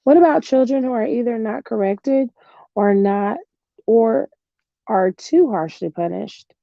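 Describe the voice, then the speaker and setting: A middle-aged woman reading a self-help book aloud in a slow, earnest way, tired and with her voice going hoarse. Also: medium pitch, mellow, no echo. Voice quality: gravelly. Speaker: book reader. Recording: dull lo-fi home recording.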